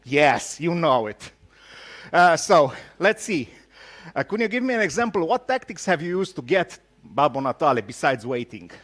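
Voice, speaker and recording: A man from Romania with a Romanian accent gives an informal tech talk, speaking haltingly and teasing his audience with jokes. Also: fairly high pitch. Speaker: conference speaker, solution architect.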